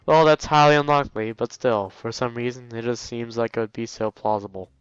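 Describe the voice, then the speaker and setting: A man's voice: mid-range voice, fairly even intonation, a little fast, clean tone, no echo. A young man reading a visual novel aloud as a let's play host, playfully commenting and stumbling over lines. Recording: dull, lo-fi mic with music. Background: game music.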